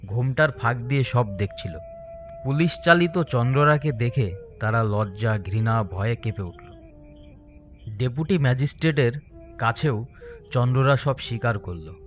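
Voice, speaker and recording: A man's voice: medium pitch, muffled recording. A man narrating a scripted dramatic story as a storyteller. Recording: faint music behind.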